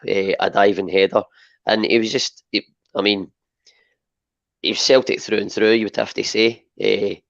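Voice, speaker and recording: A hoarse Scottish man co-hosting a podcast, relaxed and talking with lots of fillers. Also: fairly low pitch, no echo, lo-fi sound. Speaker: podcast co-host. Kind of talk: casual podcast chat.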